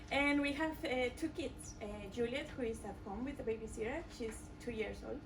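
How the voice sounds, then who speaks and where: A woman with a Mexican accent giving a pitch presentation hesitantly, with frequent fillers. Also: background noise. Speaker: student presenter. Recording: voice from far off the mic.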